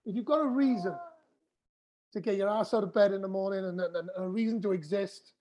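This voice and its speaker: Husky-voiced woman musing in a casual chat. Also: mid-range voice, fairly quick. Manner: warm, rambling, talks to her baby between thoughts. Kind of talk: casual conversational interview.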